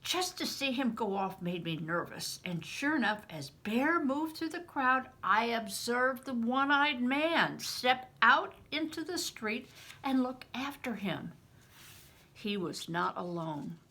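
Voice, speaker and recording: An older woman reading a story aloud as a narrator, with deliberate, emphatic expression. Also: a little high, animated, bright, forceful tone. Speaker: storybook reader, retired reading teacher. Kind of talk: expressive scripted audiobook narration.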